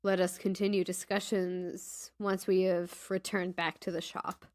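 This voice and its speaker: A woman's voice: on the low side, flat delivery, measured pace, a little mellow, lightly acted. A woman playing a haughty, suspicious fantasy shopkeeper in a tabletop game, with stiffly formal, pointed wording. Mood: brisk, formal.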